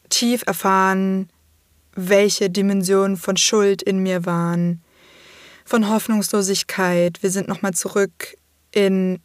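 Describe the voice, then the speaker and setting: A slightly hoarse woman hosting a podcast, talking openly and casually about her own life, swearing freely and filling pauses with "ähm". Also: medium pitch, no room sound, studio quality. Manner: open, casual, swears freely, many fillers. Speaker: podcast host. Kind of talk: candid personal monologue.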